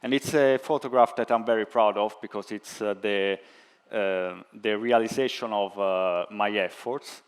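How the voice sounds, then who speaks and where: A low-voiced man talks very slowly on a conference stage. His voice is clear and smooth, but he halts often and fills the gaps with fillers. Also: fairly even intonation. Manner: hesitant, many fillers. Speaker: researcher answering questions. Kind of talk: conference pitch and Q&A.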